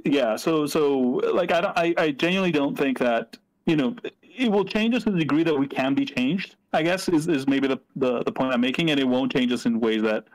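A man's voice: mid-range voice, a little fast, rough recording. Hesitant man. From Greece. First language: Greek. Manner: hesitant, many fillers and restarts. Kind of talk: casual discussion.

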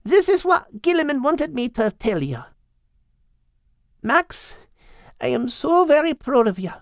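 A man voicing a gentle, earnest male animal in an audiobook, speaking in a measured and tender way. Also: very high voice, very animated, no echo, clean studio sound, voice pushed up, exaggerated voice. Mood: tender, solemn. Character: gentle male animal friend solemnly relaying dying words. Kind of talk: audiobook character voice.

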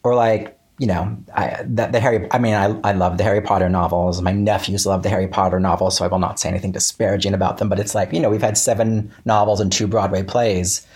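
An American man talking casually as an interview guest, in a halting, self-correcting way. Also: fairly low pitch, steady tone, darkish tone, breathy edge. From Central Florida, United States.

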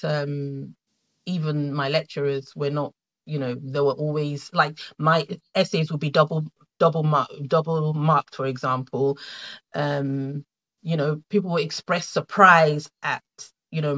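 A middle-aged woman with a Nigerian accent, a guest in an interview, telling her story in a reflective, halting way with many fillers and restarts. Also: sits low, a bit bright, highs cut off. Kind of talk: conversational interview.

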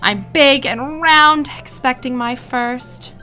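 A woman plays a bubbly young housewife in an audio drama, sentimental and effusive. Her chirpy voice is high and very bright, and she takes her words slowly. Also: faint music behind, exaggerated voice. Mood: cheery, sentimental. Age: young adult.

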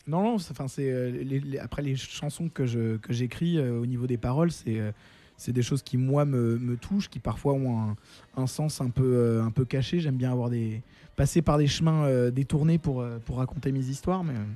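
A French man, a musician guest on a radio show, speaking thoughtfully with many pauses and restarts. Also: medium pitch, mellow, no room sound. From France. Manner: thoughtful, hesitant, halting. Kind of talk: casual interview. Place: radio studio.

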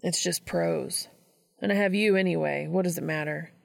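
A woman voicing a vulnerable, hesitant young male college student in a storybook reading, halting and unsure. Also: on the low side, creaky. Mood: reluctant, defensive.

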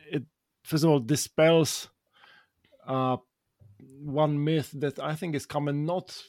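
A male podcast co-host speaks in a halting way, with many restarts as he builds a long question. He sounds thoughtful. His voice is close and dry, with no room sound. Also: medium pitch, a little mellow, no echo. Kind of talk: conversational interviewing.

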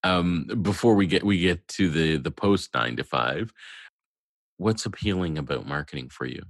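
A man's voice: low-pitched, normal speed, no echo, clean studio sound. A man interviewing a guest, asking curious and encouraging questions in a casual conversational way.

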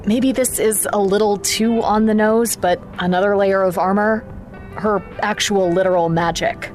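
A woman's voice: medium pitch, fairly even intonation, normal speed, a little mellow, faint music behind, lightly acted. A woman playing a fierce, blunt member of a fugitive team in an audio drama. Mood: wry, scheming.

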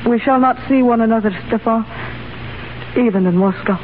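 A woman's voice: fairly high pitch, normal speed, natural voice. Woman playing grave, lovelorn revolutionary, scratchy old radio. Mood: anguished, tender. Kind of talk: 1930s radio acting. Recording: scratchy, narrow-band 1930s radio broadcast.

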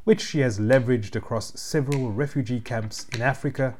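A man hosting an interview, first reading a guest introduction and then asking questions in a measured way. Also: medium pitch, darkish tone, a little hiss or hum. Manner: measured, reads a prepared introduction. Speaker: interviewer, host.

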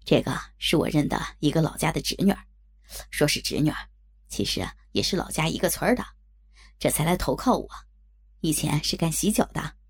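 A woman's voice: low voice, animated, a little fast, slightly rough, pitched below the performer's own voice, heavily acted. A woman voicing a smug, jovial male boss who talks in a casual, commanding, colloquial way.

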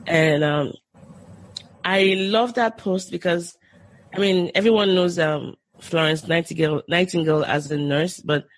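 Woman, Nigerian accent, husky, dry close sound, enthusiastic guest chatting, frequent restarts. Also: sits low, no echo. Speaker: podcast guest. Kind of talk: casual interview chat.

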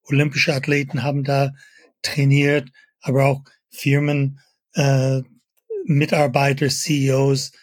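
Older guest from Canada; husky, a touch high, halting and hesitant, dry room. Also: male voice, monotone, no echo. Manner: halting, with many fillers and pauses. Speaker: interview guest, fitness industry veteran. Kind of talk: casual interview talk.

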